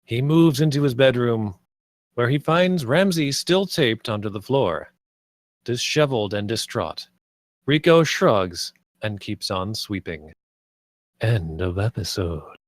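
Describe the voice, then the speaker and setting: A man's voice: medium pitch, lively intonation, slow pace, no echo, clean studio sound. A man reading stage directions as scripted narration at a table read.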